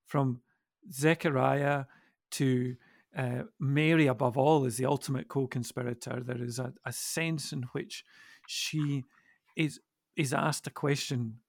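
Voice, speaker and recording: A middle-aged man speaking as a podcast guest in a reflective discussion, thoughtful and halting, with deliberate pauses. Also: medium pitch, steady tone, vocal fry, no room sound, studio quality. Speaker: podcast guest and church minister.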